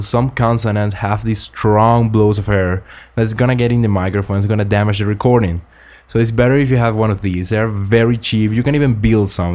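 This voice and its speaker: A young man from Ecuador, earnestly explaining vocal recording tips in slightly halting English, close on a studio condenser mic in a small home studio. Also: on the low side, a little mellow. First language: Spanish. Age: young adult. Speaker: student making a tutorial. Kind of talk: casual spoken tutorial. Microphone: large-diaphragm condenser with pop filter, close.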